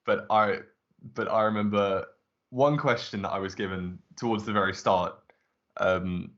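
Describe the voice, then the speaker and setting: Very deep-voiced young man, measured. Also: a bit bright. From Southwest London. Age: young adult. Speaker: student guest. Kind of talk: casual group chat. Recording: dull lo-fi audio.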